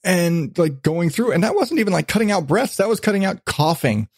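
A man's voice: high-pitched, sing-song, fairly quick, breathy edge, no echo, studio quality. A man hosting a podcast in a casual, profane chat, telling things animatedly with acted-out asides. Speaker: podcast host.

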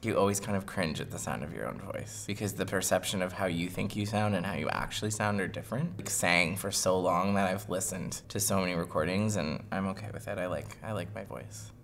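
Young dude, super deep gravelly voice, loud n chatty, bit of room noise. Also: male voice, fairly quick, few pauses. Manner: loud, attention-seeking. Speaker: interviewee. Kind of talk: casual interview answers.

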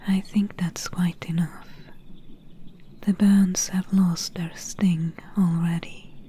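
A woman playing a gentle, caring swamp witch in a soft-spoken ASMR roleplay, whispering slowly and soothingly in a breathy voice. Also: on the low side, level tone, slight background noise. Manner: slow, soothing, hushed.

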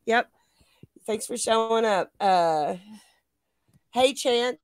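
A woman's voice: a little high, slow pace, clear, resonant tone, dry recording, studio quality. A woman co-hosting a livestream, chatting informally, reading viewer comments and encouraging her partner along. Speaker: livestream co-host. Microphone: remote livestream call.

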